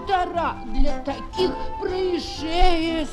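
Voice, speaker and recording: A woman's voice: extremely high pitch, slow, loud music behind the voice. An actress playing an elderly little creature who carries her house on her back, lilting half-sung rhymes in a children's musical play. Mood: lilting, gossipy.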